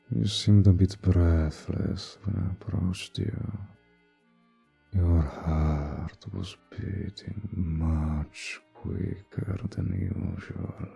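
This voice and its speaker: A man voicing an imposing, charming male vampire in an intimate roleplay audio, suave, courtly and flirtatious, with a deep, gravelly, husky voice. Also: warm tone, highs cut off, heavily acted. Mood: teasing, probing. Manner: suave, courtly, teasing, drawn-out formal phrasing.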